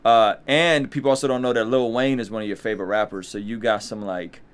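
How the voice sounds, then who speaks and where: A man hosts a relaxed online video call with a playful, teasing manner. He hypes his guest and calls on viewers with questions. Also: lowish voice, fairly quick, clear, resonant tone. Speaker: host. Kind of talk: casual live-stream chat.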